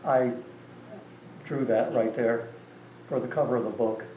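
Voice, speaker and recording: A man's voice: medium pitch, fairly even intonation, a little slow, lo-fi sound. Rambling man in an echoey room. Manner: rambling, filler-heavy, with frequent "you know". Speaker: author and guest speaker. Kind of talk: casual conversation at a meeting. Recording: distant mic in an echoey room with some noise.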